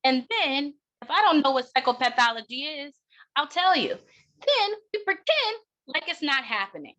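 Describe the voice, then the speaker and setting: A woman delivering a keynote speech in a deliberate, emphatic manner, breaking phrases with dramatic pauses. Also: high voice, very animated, pushed and bright, muffled recording. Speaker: keynote speaker, counseling clinician.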